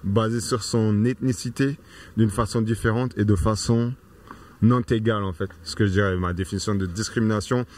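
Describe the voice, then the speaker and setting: A man's voice: on the low side, steady tone, moderate pace, darkish tone. A man giving offhand answers in a street interview.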